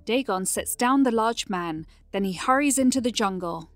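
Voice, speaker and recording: A woman's voice: fairly high pitch, lively intonation, moderate pace, no echo, soft background music. A woman reading scripted narration for a fantasy audio drama.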